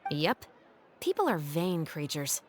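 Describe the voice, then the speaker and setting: A woman's voice: deep voice, big pitch swings, slow pace, full character voice. A woman playing a composed, wry police officer in an anime game, speaking in a knowing, dryly official way. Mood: confiding, wry. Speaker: officer of a special patrol.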